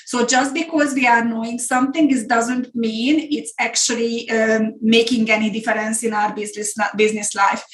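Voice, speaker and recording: A woman's voice: fairly high pitch, fairly even intonation, normal speed, few pauses. A middle-aged woman from Hungary with a Hungarian accent, presenting an online business masterclass in an earnest way and repeating words for emphasis. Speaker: business coach. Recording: echoey room.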